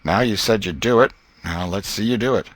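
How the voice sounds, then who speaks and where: A man's voice: lowish voice, fairly quick, fairly bright tone, dry recording. A man voicing a haughty, taunting young boy who goads and challenges, in an audiobook. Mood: goading. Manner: challenging, goading. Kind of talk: audiobook character voice.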